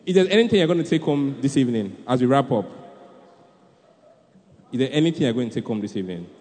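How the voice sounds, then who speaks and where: A man's voice: medium pitch, expressive, fast, a little mellow. Nigerian male preacher in a reverberant hall. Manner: exhorting, repeats phrases for emphasis. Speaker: preacher hosting a church youth event. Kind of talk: animated youth sermon and stage hosting. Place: large indoor hall at a live event.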